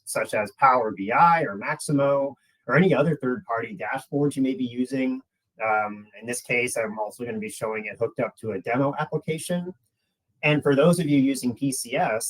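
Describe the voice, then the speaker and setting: A man's voice: medium pitch, low-quality recording. A man giving a webinar presentation as a product presenter, explaining in a conversational way and addressing the audience directly.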